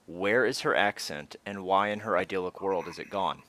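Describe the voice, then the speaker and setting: A man's voice: low voice, normal speed, a bit bright, no room sound. A man co-hosting a casual fan discussion, musing and asking questions aloud.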